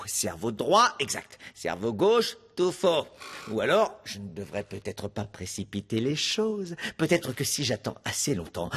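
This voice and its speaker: A man's voice: mid-range voice, a bit bright, slightly rough. A man voicing a fretful, goofy young male cat in a French cartoon dub, dramatic and stammering.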